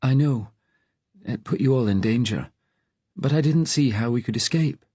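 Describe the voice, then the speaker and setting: A man's voice: medium pitch, moderate pace, mellow, husky, no room sound, voice pushed up, lightly acted. A man narrating an audiobook, playing a nervous, timid, fragile fugitive with a hesitant, earnest, questioning manner. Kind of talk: audiobook character dialogue.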